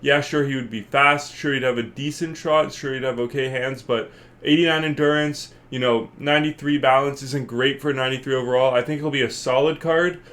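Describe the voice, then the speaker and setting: A man's voice: mid-range voice, fairly even intonation, a little fast, a bit bright. A congested man hosting a gaming channel, giving casual, rambling commentary full of fillers as he thinks aloud. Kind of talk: casual video game commentary.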